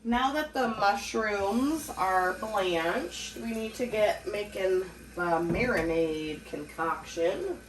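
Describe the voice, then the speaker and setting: Slow, congested woman hosting a cooking lesson. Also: medium pitch, reverberant, a little hiss or hum, poor audio. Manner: relaxed, chatty, laughs easily. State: congested from a cold. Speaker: home canning channel host. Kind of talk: casual cooking tutorial.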